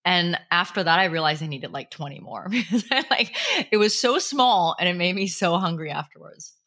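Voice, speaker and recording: Low bright lady host, chatty, laughs a lot. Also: lively intonation, normal speed. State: jet lagged. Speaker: podcast host. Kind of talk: casual chat.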